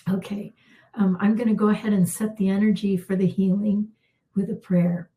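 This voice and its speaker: A middle-aged woman, a healer, leading a calm guided spiritual healing with unhurried talk and soft pauses, her voice slightly worn. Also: mid-range voice, a little hiss or hum, low-quality recording. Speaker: intuitive healer hosting a live session.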